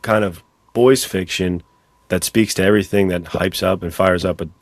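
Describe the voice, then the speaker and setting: A man's voice: low voice, fairly even intonation, moderate pace, no room sound. A man hosting a podcast, chatting casually and with enthusiasm about books. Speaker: podcast host. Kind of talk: casual podcast interview chat.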